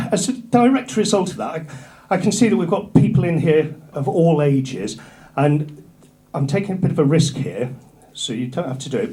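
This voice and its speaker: A middle-aged man from North Yorkshire with an English accent, doing a stand-up routine in a comedy club, dropping his punchlines low and dry. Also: low-quality recording. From North Yorkshire, England. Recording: live club with loud crowd laughter. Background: audience laughter.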